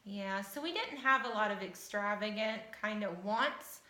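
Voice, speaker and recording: Bright-voiced American woman in an echoey room. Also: medium pitch, measured pace. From Southern Ohio, United States. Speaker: house-hunting show applicant. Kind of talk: casual interview-style chat to camera.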